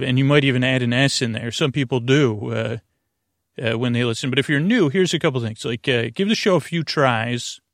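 A man hosting a sleep podcast in a meandering, halting monologue that keeps wandering off on tangents. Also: mid-range voice, no echo, studio quality. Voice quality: creaky, gravelly. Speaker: podcast host.

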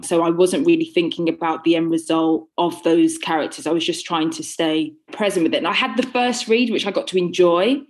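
Chatty woman with a lowish voice. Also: a little mellow. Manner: chatty, laughs often, trails off and restarts. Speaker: audiobook narrator answering questions. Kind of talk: casual Q&A chat.

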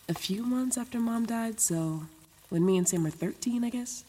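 An anxious, rambling, low-pitched young woman. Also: darkish tone, long reverb tail. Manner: rambling, casual, sweary, stop-start self-talk. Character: anxious, chatty young woman newly sober, rambling self-justifications. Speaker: lead actor in an audio drama. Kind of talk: naturalistic confessional audio drama monologue.

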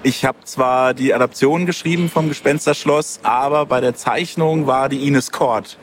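A man's voice: mid-range voice, normal speed. A man talking casually as an interview guest. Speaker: comic writer and interview guest. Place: book fair. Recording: clear background noise, busy book fair.

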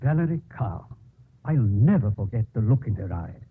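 An actor playing a polite but self-righteous male pharmacist in a 1950s radio drama, with a husky voice. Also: on the low side, very animated, moderate pace, exaggerated voice. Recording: muffled phone-like old radio broadcast.

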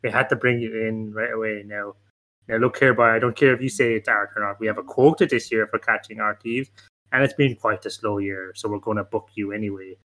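A man's voice: fairly low pitch, fairly even intonation, brisk, a bit bright, natural voice, low-quality recording. A man acts out a police detective in a comic radio play. He is mock-stern and patronising, rambling through his interrogation in a folksy, stop-start way. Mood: officious, stern.

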